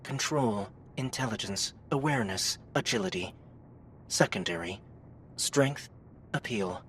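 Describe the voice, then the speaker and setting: Game system voice for LitRPG audiobook. Deliberate, slow, impersonal announcing, faint rumbling noise. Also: expressive, fairly bright tone. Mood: impersonal, formal. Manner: clipped, formal, deliberate announcing.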